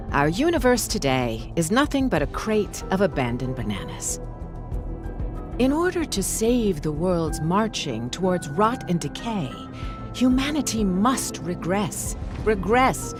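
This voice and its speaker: An actress playing a cold, zealous research scientist in a video game, formal and condescending like a practiced orator. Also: female voice, mid-range voice. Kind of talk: scripted video game voice acting.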